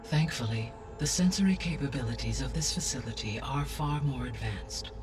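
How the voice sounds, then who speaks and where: An actor playing a calm, grave artificial intelligence in a video game, speaking formally with precise, deliberate diction. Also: male voice, mid-range voice, recorded from a distance, over music, lightly acted. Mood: grave, measured. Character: calm male artificial intelligence, grave, all-knowing custodian. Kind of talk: cinematic video game acting, exposition.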